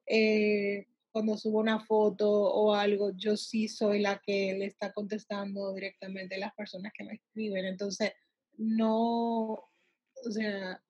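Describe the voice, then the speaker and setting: Husky, even-toned woman in conversation. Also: mid-range voice, no room sound, muffled recording. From United States. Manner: halting, searching for words with drawn-out pauses. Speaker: interviewee, salon worker and empowerment advocate for girls. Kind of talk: conversational interview answers.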